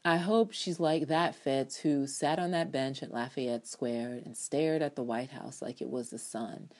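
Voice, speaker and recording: Low-voiced woman reading her review dramatically. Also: measured pace, a little mellow. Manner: emphatic, sassy, blunt with profanity and slang.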